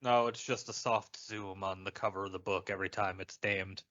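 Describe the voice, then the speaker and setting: A man's voice: low voice, fairly even intonation, moderate pace, a bit bright, lo-fi sound. A man co-hosting a casual podcast with wry, bantering delivery. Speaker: podcast co-host. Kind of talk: casual chat.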